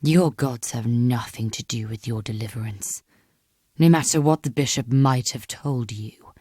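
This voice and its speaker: Casting: actress as imperious, menacing masked lady, extremely low female voice, slow and measured, ominous, audio drama. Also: warm tone, slightly rough, no echo, studio quality, full character voice. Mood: cool, dismissive. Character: tall masked sinister noblewoman, imperious, menacing. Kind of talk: dramatic audiobook character acting.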